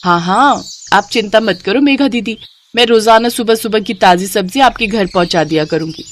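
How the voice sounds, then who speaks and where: A woman's voice: mid-range voice, normal speed, a little hiss or hum, close to an everyday voice. A woman doing an eager, kind young vegetable seller. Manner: bright, eager, reassuring.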